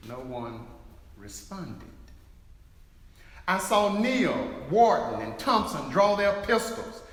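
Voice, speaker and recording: A man playing a grave senator and peacemaker giving measured testimony in a dramatic reading. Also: high-pitched, very animated, heavily acted. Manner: deliberate, measured. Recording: very echoey hall, faint background noise.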